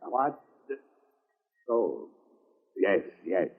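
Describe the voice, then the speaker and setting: An actor playing a meek, flustered, apologetic doctor in 1940s radio drama style. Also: male voice, deep voice, big pitch swings, extremely slow, gravelly, narrow, tinny audio, heavily acted. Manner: hesitant, apologetic. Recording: old band-limited radio broadcast.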